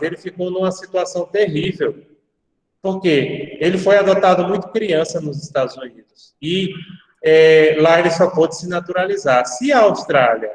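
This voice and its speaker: A Brazilian man teaching a law class, talking in a fairly high voice for a man. He explains in a roundabout way, drifting into asides and tagging his points with quick questions. His voice comes from some distance off the mic, with long echo trailing after it. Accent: Brazilian Portuguese. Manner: explanatory, digressive, frequent tag questions. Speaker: university law professor. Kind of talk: lecturing a class. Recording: echoey hall-like sound, distant from mic.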